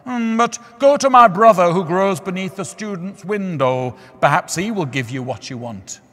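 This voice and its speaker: A man voicing a dreamy yellow rose tree who muses loudly, humming between phrases. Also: high-pitched, expressive, pitched above the performer's own voice. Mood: musing. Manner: loud, humming musing with drawn-out mms. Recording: long church hall reverb.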